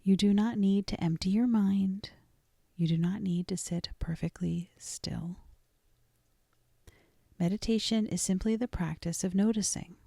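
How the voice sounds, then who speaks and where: A woman leading a guided meditation in a soft, gentle, deliberate voice that often trails toward a whisper, with frequent pauses. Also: lowish voice, gravelly, no echo, clean studio sound. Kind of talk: guided meditation, scripted and soothing.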